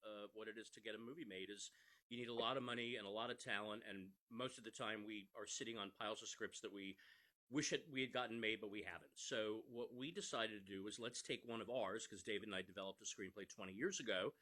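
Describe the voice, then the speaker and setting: A man's voice: fairly low pitch, fairly even intonation, fast, pushed and bright. A middle-aged man, an interview guest, explaining things conversationally in an earnest, emphatic manner.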